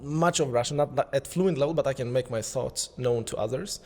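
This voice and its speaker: Young male guest, mid pitch, relaxed storyteller, hall reverb. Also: a little fast. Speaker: podcast guest, school co-founder. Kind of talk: casual interview conversation.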